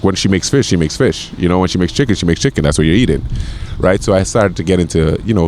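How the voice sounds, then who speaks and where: A man in his forties from Grenada talks in a very deep, low voice. He rambles in a loose, casual way and often stumbles over repeated words and fillers. There is a faint hiss of noise behind him. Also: a little mellow. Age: 40s. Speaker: podcast guest, chef. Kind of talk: casual conversation.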